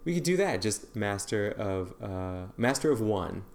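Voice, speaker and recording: A man's voice: on the low side, sing-song, unhurried. A young man co-hosting a podcast, rambling and improvising jokes with many fillers. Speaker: podcast co-host. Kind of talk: casual chat.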